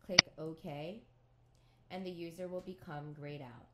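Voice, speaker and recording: A woman reading scripted instructions for a software tutorial in a measured, neutral, step-by-step way. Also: fairly low pitch, fairly even intonation, very noisy.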